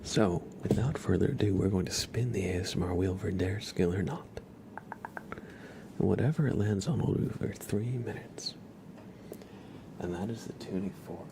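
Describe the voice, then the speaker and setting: A man hosting an ASMR livestream, chatting casually in a hushed voice that often slips into whispers. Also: sits low, normal speed, vocal fry, background noise. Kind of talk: casual soft-spoken ASMR stream chat.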